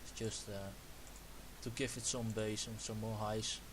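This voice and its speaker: A young man with a Dutch accent, Dutch his first language, explaining music production step by step in a halting, self-correcting way. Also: on the low side, level tone. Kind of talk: screen-recorded production tutorial. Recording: hissy home recording.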